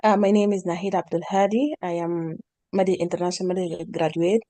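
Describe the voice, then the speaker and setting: A woman's voice: fairly low pitch, steady tone, fast talker, mellow, dry recording. A woman from Sudan introducing herself plainly on a video call. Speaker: meeting participant.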